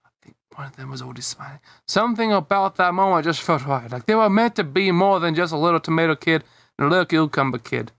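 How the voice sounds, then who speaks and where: Higher-voiced man doing a casual read-aloud. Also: lively intonation, fast, creaky, fairly bright tone, no echo. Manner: stumbling, giggly, mocking the text as he reads. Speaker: fan fiction reader. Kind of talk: casual amateur read-aloud with joking asides.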